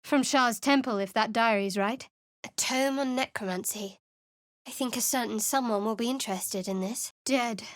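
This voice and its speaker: A guarded young cleric, played by a woman, with a dry, sardonic edge to every line. Her voice sits a little high and is close-miked in a completely dry studio. This is game dialogue, cool and wary. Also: moderate pace, no echo, lightly acted. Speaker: game character. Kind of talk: video game voice acting. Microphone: studio mic.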